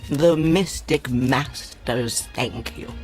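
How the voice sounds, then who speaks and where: Old female fortune teller, unhurried, solemn, theatrical incantation, radio drama, music behind. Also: very deep voice, full character voice. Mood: mystical, solemn. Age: older. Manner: grandiose, incantatory, hushed at times.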